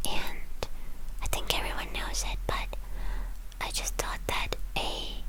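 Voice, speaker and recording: A woman telling a story in a breathy ASMR whisper, gentle and soothing, with pauses between short phrases. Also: rough recording.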